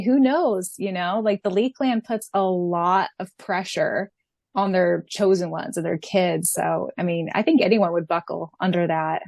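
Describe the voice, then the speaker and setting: A woman's voice: medium pitch, dry recording. A woman co-hosting a podcast, talking casually and emphatically as a fan in a chatty, informal way. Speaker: podcast co-host and audiobook voice performer.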